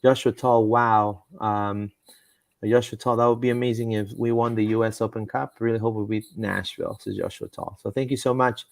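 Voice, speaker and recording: A man's voice: on the low side, fairly even intonation, moderate pace, gravelly, dry recording. A man hosting a casual live soccer stream, rambling with lots of fillers and stumbles as he reads comments and rumors. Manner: rambling, with frequent fillers and repeated words. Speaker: podcast host.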